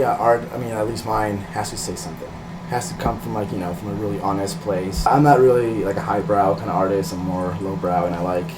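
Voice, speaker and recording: A man's voice: fairly low pitch, noisy background. A middle-aged man from Peru talking casually and hesitantly in an interview about himself, thinking aloud in an informal way. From Peru, later California. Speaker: illustrator, interviewee.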